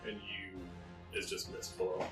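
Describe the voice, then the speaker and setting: A man's voice: low-pitched, monotone, measured pace, a bit bright, roomy sound, soft background music. A man as game master, describing scenes and calling for dice rolls. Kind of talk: narrating a tabletop game.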